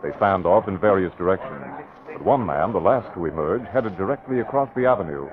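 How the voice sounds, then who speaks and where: Male narrator, low voice, measured dramatic delivery, tinny old radio sound. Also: animated. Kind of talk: scripted narration.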